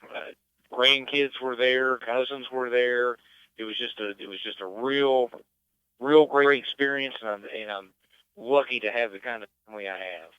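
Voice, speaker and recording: A man's voice: mid-range voice, steady tone, normal speed, smooth, no room sound. A middle-aged American man hosting a ham radio net in a plain-spoken, folksy, casual way. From West Virginia, United States. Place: amateur radio transmission. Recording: slightly dull, dry radio-net audio.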